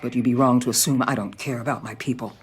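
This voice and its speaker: An actress playing a ruthless middle-aged federal agency boss in a video game, blunt and commanding. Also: female voice, rumbling low, fairly even intonation, fast, husky. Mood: curt, urgent.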